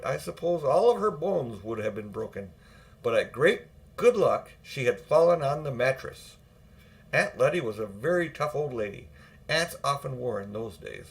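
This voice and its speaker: Older male audiobook narrator, mid-range pitch, reading children's fiction. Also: expressive, normal speed.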